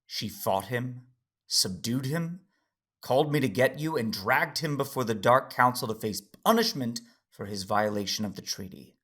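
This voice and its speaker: An actor playing a weary, guarded man with a dry humour and a husky voice in an audio drama. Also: mid-range voice, expressive, normal speed, a bit bright. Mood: defensive.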